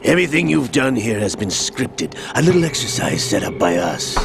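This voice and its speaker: An actor playing a cold, scheming older male villain in a video game, calm, measured and mocking as he lays out a plot. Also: mid-range voice, creaky. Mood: smug, coldly explaining. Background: game score music.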